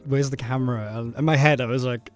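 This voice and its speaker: A South Welsh man in his thirties talks casually over his own footage at an ordinary pitch. He sounds nervous and self-mocking, breaking into laughter and swearing freely. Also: fairly even intonation, fast talker, faint music behind. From South Wales. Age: 30s. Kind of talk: casual commentary.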